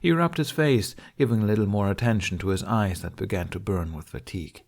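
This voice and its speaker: A man's voice: lowish voice, a little fast, darkish tone. A man reading a fiction audiobook as its narrator, in a scripted storytelling style.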